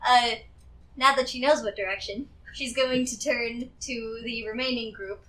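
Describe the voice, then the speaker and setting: A woman with a high, bright voice chats through a tabletop game. She is giggly and informal as she describes her character's moves. She sounds a little distant from the microphone. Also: fairly even intonation, unhurried.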